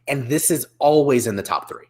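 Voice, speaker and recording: Man, forties, mid-range voice, casual video-call host, halting, meandering, self-correcting. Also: medium pitch, lively intonation, a little mellow. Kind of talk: casual panel discussion. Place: small room at home, video call.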